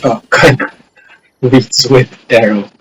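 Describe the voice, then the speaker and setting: A man's voice: medium pitch, steady tone, takes it slowly, fairly bright tone, slight background noise. A man giving offhand live commentary as he streams a game, heard through a distant-sounding microphone. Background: faint game music.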